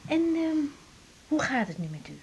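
An actress playing a frank, kind young female doctor in a radio drama, informal, gentle and a little hesitant. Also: sits high, very animated, normal speed, vocal fry, slight background noise, heavily acted. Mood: gentle, reassuring. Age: young adult. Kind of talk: 1980s Dutch radio drama acting.

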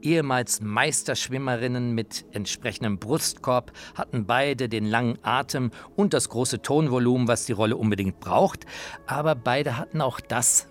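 A man narrating a radio feature in a colloquial, anecdotal storytelling manner. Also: mid-range voice.

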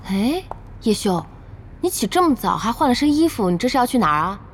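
A woman's voice: fairly high pitch, very animated, a little fast, slight background noise. A woman playing a spirited, forthright young woman in a radio drama, direct and insistent. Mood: curious, friendly. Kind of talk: Chinese radio drama acting.

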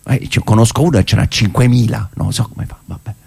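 Guy doing a theater monologue, chatty and ironic, pauses for effect. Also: on the low side, creaky, darkish tone, husky. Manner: conversational, ironic, with rhetorical pauses. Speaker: stage actor and monologist.

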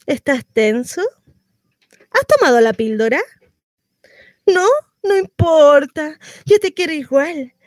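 A woman's voice: high-pitched, darkish tone, no echo, clean studio sound. An actress with a Chilean accent playing a frantic, sardonic pregnant woman in an exclamatory, melodramatic theatrical monologue. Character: frantic, sardonic pregnant woman going through labour and motherhood.

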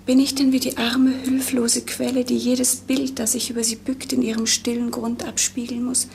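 An actress playing a melancholy, dreamy young princess in a 1950s German radio play, with lyrical, pensive delivery. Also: female voice, on the high side, flat delivery, normal speed, gravelly, darkish tone. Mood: anguished, pleading. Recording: noisy 1950s radio recording.

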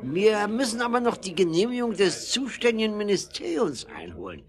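A man's voice: high-pitched, big pitch swings, brisk. A man acting a fussy, officious and blustering government official in a German radio play. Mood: officious, grudging. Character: fussy male government official, officious, then eager passenger.

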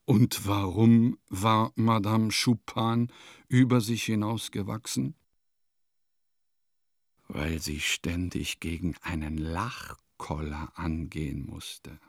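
Slow, creaky, pompous composer. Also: male voice, lowish voice, no echo, studio quality. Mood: confiding. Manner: pompous, musing, theatrical. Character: vain, eccentric male composer musing in his diary. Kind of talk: German radio play monologue.

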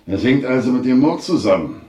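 A man's voice: mid-range voice, sing-song, fairly quick, a little mellow, reverberant, distant mic, natural voice. Man playing a greedy, swooping-voiced shady fixer. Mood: sly, greedy. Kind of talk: 1970s German radio drama acting.

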